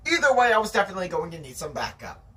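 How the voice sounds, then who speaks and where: Someone reading a novel aloud in an animated, theatrical way, with chatty asides to the listener. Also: medium pitch, rapid-fire, far from the mic.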